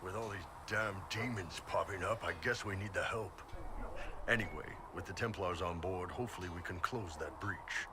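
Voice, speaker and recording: A gruff game warrior voiced by a man with a deep voice. He grumbles casually and bluntly, with clear noise in the background. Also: normal speed, lightly acted. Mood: weary, grumbling. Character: gruff, grumbling male fighter. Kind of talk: casual video game character banter.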